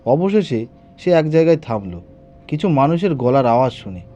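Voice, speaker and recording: High-pitched, animated male horror storyteller. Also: fairly quick, lo-fi sound, faint music behind. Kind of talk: scripted horror story narration.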